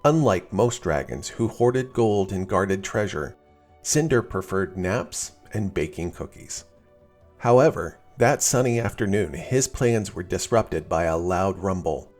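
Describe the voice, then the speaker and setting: A man reading a scripted children's story as narrator, in an expressive storytelling style. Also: low-pitched, measured pace, soft background music.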